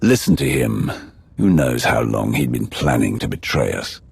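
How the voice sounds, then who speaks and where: A wary, commanding Sith warrior in a video game. The voice is rock-bottom deep and very raspy, with wide swings in pitch. He talks tersely, in a close, dry recording. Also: very animated, normal speed, no echo, exaggerated voice. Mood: suspicious. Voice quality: gravelly, husky. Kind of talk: video game cutscene dialogue.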